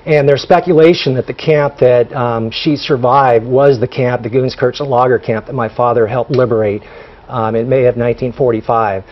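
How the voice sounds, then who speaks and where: Man, mid pitch, halting, frequent fillers, TV interview guest, dull audio. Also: steady tone. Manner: halting, many fillers and restarts. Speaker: guest, son of honored general. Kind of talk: TV studio interview.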